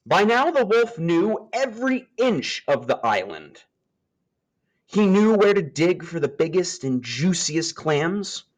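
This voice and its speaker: A man reading a children's nature story aloud, with expressive, emphatic phrasing and dramatic pauses. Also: sits high, poor audio.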